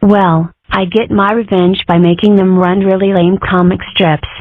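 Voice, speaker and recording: A woman's voice: mid-range voice, level tone, normal speed, no echo, close to an everyday voice. A woman voicing a wry old grandmother, a retired schemer with a conspiratorial way of talking. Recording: dry, phone-like lo-fi sound.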